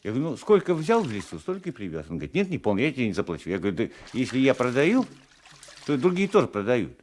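An actor playing a querulous old peasant husband, hesitant and self-justifying, in a 1980s Soviet radio drama. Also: male voice, medium pitch, wildly swooping pitch, quick pace, a little mellow, slight background noise. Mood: aggrieved. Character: querulous, battered old peasant husband, meek but stubborn.

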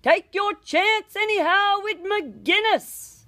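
A woman voicing a jocular, bustling bush priest in an animated, exclamatory storybook reading. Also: very high voice, takes it slowly, pitched above the performer's own voice, exaggerated voice. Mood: triumphant shout.

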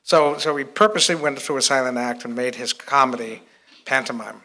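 An older American man speaking off the cuff as a historian to an audience, informal and a bit halting. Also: medium pitch, bright, forceful tone. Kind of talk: informal explanatory talk. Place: hotel conference room before a seated audience.

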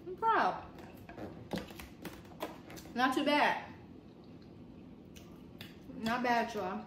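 A young woman talks to her viewers while she cooks, calling them y'all. Her voice sits a little high. It is recorded on a phone propped in a home kitchen, and steady noise runs underneath. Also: extremely slow. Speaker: vlogger. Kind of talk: casual cooking vlog chat.

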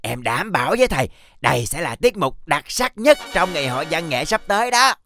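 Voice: mid-range voice, very animated, fairly bright tone. An actor voicing a vain, bossy young would-be superstar in a children's cartoon, boastful and pompous. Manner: boastful, pompous, drawn-out grand phrases. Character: vain, bossy boy superstar-wannabe pencil character. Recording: music behind the voice.

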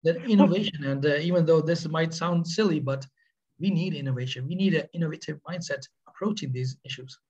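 A middle-aged man with a Swedish accent, presenting on a webinar in earnest, halting speech. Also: fairly high pitch, a little mellow, breathy edge, lo-fi sound. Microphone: webcam on video call.

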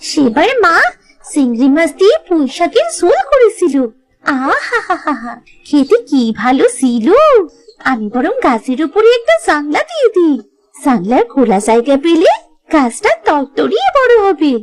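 A woman's voice: sits high, very animated, a little slow. A woman voicing a talkative, cheeky little village girl in a cartoon. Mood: dreamy, pleased. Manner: talkative, answers back. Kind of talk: cartoon voice acting.

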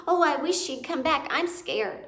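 An anxious little mouse girl from a storybook , played by a woman reading aloud. The voice is lifted high and pleading, heard in an echoey room. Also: expressive, unhurried, a bit bright, full character voice. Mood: worried, pleading.

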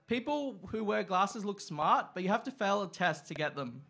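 A young man performing open mic stand-up, with a halting delivery full of ums and uhs, on a small club stage. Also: sits high, animated, vocal fry, pushed and bright. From Australia. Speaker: stand-up comic. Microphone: stand mic, far camera.

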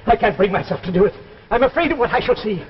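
High-voiced, desperate old inventor. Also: male voice, lively intonation, fast talker. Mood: anxious. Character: frail, obsessive old inventor father, desperate dreamer. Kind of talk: 1950s live television drama acting. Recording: muffled old TV soundtrack with music.

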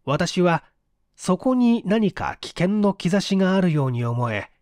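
A man narrating a mystery story as an audiobook reader, in an expressive, measured storytelling manner on a close microphone. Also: high-pitched, no room sound. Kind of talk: scripted literary narration, mystery story reading.

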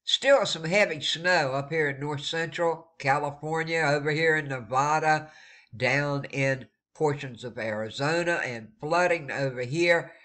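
A man's voice: fairly high pitch, measured pace, pushed and bright. A man with a stuffed-up nose, giving a friendly, casual weather forecast over maps, repeating words for emphasis. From eastern North Carolina. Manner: friendly, repeats words for emphasis, warns listeners to stay safe. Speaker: weather vlogger.

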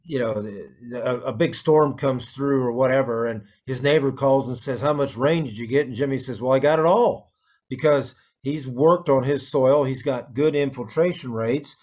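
A man's voice: mid-range voice, moderate pace, few pauses, darkish tone. A middle-aged man hosting a casual interview over a video call. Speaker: host, interviewer. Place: plain room, indoors.

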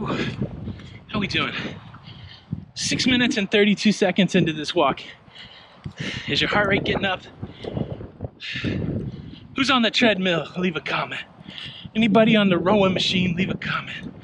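A man's voice: sits high, moderate pace, pauses often. A middle-aged man hosting a casual walking vlog, chatting to viewers and joking at his own expense as he walks through an outdoor park. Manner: chatty, self-deprecating, asks viewers questions. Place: outdoor park in rain and wind. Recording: wind and outdoor noise on a body camera.

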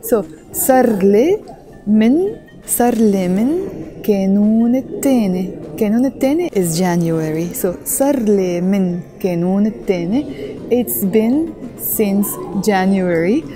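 A woman in her thirties giving a vlog language lesson, talking softly and a little shyly, first in a train station and then on a busy street, into an earphone mic. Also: medium pitch, lively intonation, fairly quick, music in the background. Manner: soft-spoken and shy, then louder and brighter outdoors. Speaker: language teacher. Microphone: earphone headset mic.

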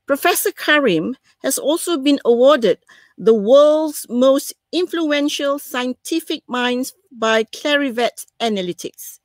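Female moderator, Malaysian accent, fifties, fairly high pitch, measured scripted delivery, dry close sound. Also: lively intonation, dry recording. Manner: measured, formal. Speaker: session moderator introducing a keynote speaker. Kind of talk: formal scripted introduction, read aloud. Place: indoors on a video call.

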